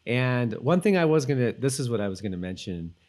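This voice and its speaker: A man co-hosting a podcast, chatting casually and informally in a halting way, as if thinking aloud. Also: medium pitch, very animated, darkish tone. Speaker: podcast co-host. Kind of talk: casual chat about a TV rewatch.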